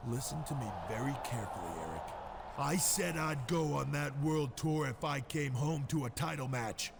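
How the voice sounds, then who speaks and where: A man's voice: medium pitch, animated, moderate pace, vocal fry. A man playing a cocky, hot-tempered pro wrestler in a video game, blunt and confrontational. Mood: angry, threatening. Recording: noisy game audio.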